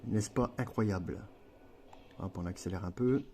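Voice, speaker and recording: Man, gaming tutorial creator. Low, warm voice, loose and rambling delivery with fillers. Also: measured pace. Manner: chatty and rambling, with many fillers and self-corrections. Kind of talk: casual gameplay tutorial.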